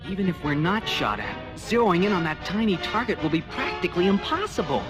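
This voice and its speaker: An actor playing an earnest young starfighter pilot, anxious and tense, in a children's audio adventure. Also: male voice, high-pitched, sing-song, a little fast. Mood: worried. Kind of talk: dramatized children's read-along audio. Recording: 1979 cassette, loud music underneath.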